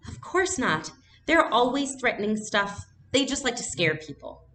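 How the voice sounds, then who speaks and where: Woman reading as a confiding young girl, raised pitch. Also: big pitch swings, normal speed, bright, forceful tone, slight background noise. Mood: dismissive, reassuring. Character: reassuring young girl, confiding best friend.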